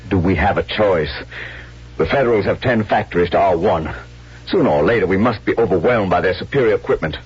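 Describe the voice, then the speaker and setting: A man's voice: low voice, a little fast, slightly rough. A man acting a proud, blunt army colonel in a 1970s radio drama, speaking formally and dutifully. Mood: grimly frank. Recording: muffled vintage radio broadcast.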